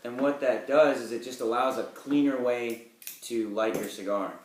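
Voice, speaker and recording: A man's voice: mid-range voice, fairly even intonation, moderate pace, recorded from a distance. A young man from Florida co-hosting a cigar show, explaining things casually between bits of banter. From Florida, United States. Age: young adult. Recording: echoey room, clear background noise, clicks.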